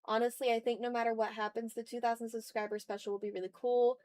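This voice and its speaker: A woman's voice: a little high, level tone, fast, darkish tone, no echo. A woman hosting a livestream, chatting informally and expressively straight to her viewers. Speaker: art livestream host. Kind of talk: casual livestream chat, reading and answering viewer messages.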